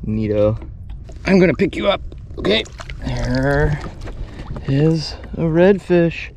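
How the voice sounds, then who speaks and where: A man in his thirties on a small boat, chatting excitedly and poking fun at himself as he fly fishes on camera. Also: fairly high pitch, slow, slight background noise. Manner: excitable, chatty, self-deprecating. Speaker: fly fishing vlogger. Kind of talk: casual on-camera fishing narration. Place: small open boat on the water outdoors. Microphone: action camera on the boat.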